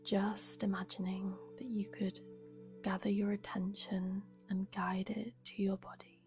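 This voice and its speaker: A woman guiding a meditation as a yoga nidra teacher, speaking softly and soothingly with a breathy, unhurried delivery. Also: mid-range voice, level tone, music in the background. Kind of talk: guided meditation.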